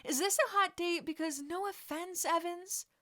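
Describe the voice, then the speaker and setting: A woman's voice: extremely high pitch, moderate pace, sharp tone, dry recording, studio quality, pitched above the performer's own voice. A woman voicing a cocky, sardonic teenage wizard boy in a story, grumbling as he brushes off concern. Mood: teasing. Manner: sarcastic, grumbling.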